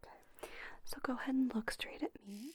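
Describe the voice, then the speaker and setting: Whispering woman, soft ASMR instruction. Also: high voice, moderate pace. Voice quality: breathy, mostly unvoiced whisper. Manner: gentle, reassuring, instructional. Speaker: ASMR creator playing a spa owner teaching facials.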